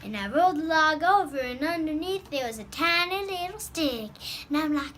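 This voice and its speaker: High-pitched, manic singing cartoon creature. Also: lively intonation, moderate pace, bright, forceful tone, slight background noise. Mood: storytelling. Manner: zany, singsong, bursting into wordless whooping.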